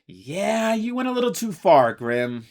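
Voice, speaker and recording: A man voicing a brash, hotheaded teenage boy student who yells in a very high voice. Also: very animated, unhurried, full character voice. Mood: angry, shouting. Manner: hotheaded, yelling.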